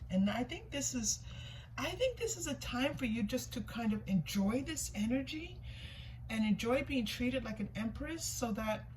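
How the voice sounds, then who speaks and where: A woman's voice: fairly high pitch, a little mellow, low-quality recording. A woman giving a casual, intuitive tarot reading, thinking aloud in an informal way, often repeating herself and questioning what she sees. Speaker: tarot reader hosting a reading. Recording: clear background noise, room ambience.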